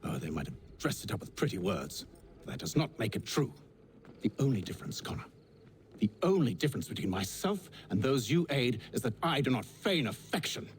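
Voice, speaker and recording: A man's voice: medium pitch, sing-song, fairly quick, natural voice. An actor playing a proud middle-aged Templar father in a video game, British, with a dry, sardonic, eloquent delivery. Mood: passionate, disdainful. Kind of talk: video game cutscene acting. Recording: faint background ambient noise.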